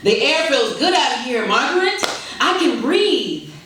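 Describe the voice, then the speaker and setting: A woman's voice: high-pitched, sing-song, unhurried, fairly bright tone, a little hiss or hum, pitched above the performer's own voice, exaggerated voice. Lady doing an old dad in a story reading, playful n dramatic, super echoey, far from mic. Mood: relieved. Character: elderly Korean father, playful, wistful, doting. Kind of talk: live short story reading. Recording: echoey hall, distant microphone.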